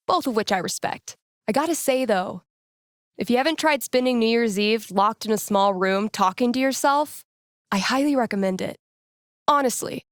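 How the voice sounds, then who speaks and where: Young woman played by an actress, video game radio host, wry, playful, mock-solemn fortune-teller, conversational, mid-low pitch, very dry studio sound. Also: no room sound, close to an everyday voice. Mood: wry, casual. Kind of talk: video game dialogue as late-night radio call-in show.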